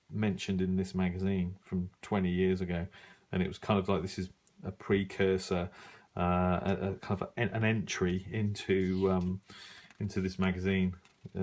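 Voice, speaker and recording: A middle-aged man giving a casual, unscripted review, musing and halting as he thinks aloud while browsing. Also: deep voice, a little mellow. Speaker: radio hobbyist reviewing an old magazine.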